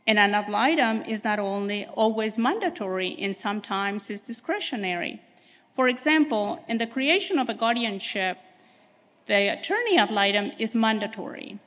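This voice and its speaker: A woman attorney speaks softly and carefully into a podium microphone, giving a formal presentation at a conference. Her voice sits at an ordinary middle pitch. A long reverberation from the hall trails behind her words. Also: lively intonation, moderate pace. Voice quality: soft voice.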